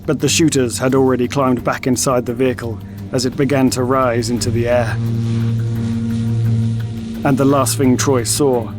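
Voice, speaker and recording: Male story narrator, mid-pitched, measured dramatic read, scripted audio drama, music behind. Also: little pitch movement. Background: music and sound effects.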